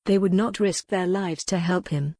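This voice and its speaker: A woman's voice: lowish voice, normal speed, mellow, dry recording, studio quality. A woman reading scripted dramatic fiction as an audiobook narrator.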